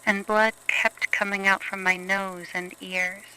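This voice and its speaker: A woman's voice: medium pitch, level tone, measured pace, pushed and bright, clear, resonant tone, rough recording. A woman playing a shaken young survivor giving an earnest, plain-worded testimony in a horror story. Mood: distressed.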